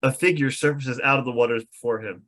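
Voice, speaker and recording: A man's voice: medium pitch, fairly even intonation, fast, fairly bright tone. A man reading out the stage directions of a fantasy script as narrator at a table read.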